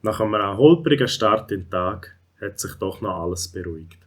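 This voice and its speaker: A man's voice: lowish voice, lively intonation, moderate pace, a bit bright. A man with a Swiss German accent narrating a scripted children's story.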